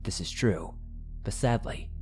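A man reading first-person horror stories as a narrator, in a measured, deliberate storytelling manner. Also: on the low side. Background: background music.

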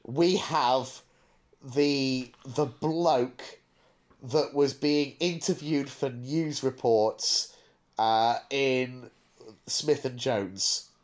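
A man talks casually as a podcast co-host, his voice sitting at a medium pitch. He offers firm opinions, but gets to them through stammered, repeated starts. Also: drawn-out words. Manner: hesitant stammering restarts, opinionated.

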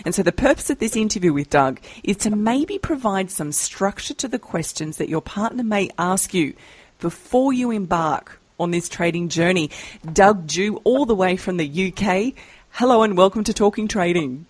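A woman hosting a trading podcast, giving clear scripted intros. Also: mid-range voice, expressive, normal speed, dry recording. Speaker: podcast host.

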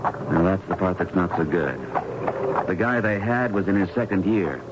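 An actor playing a courteous, earnest young doctor in a vintage radio drama, speaking politely and sincerely. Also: male voice, low-pitched, normal speed, lightly acted. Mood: matter-of-fact. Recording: muffled, very noisy old radio recording.

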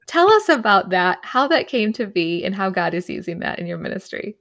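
A woman's voice: medium pitch, very animated, moderate pace, fairly bright tone. A woman hosting a podcast interview, asking thoughtful questions and affirming her guest often. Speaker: podcast host.

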